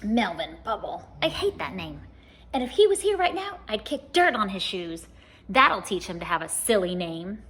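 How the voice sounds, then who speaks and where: A woman's voice: mid-range voice, big pitch swings, moderate pace, a bit bright. A woman voicing the meanest man in the world, a grumpy bully with a scornful, emphatic manner, in a storybook reading. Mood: scornful, angry.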